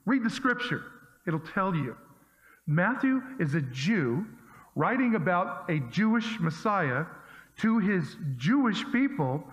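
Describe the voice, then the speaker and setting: A man's voice: high-pitched, sing-song, slow, fairly bright tone. A man preaching a sermon as pastor, talking to his congregation in a conversational, emphatic way and firing off punchy rhetorical questions. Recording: dull lo-fi sound with long hall reverb.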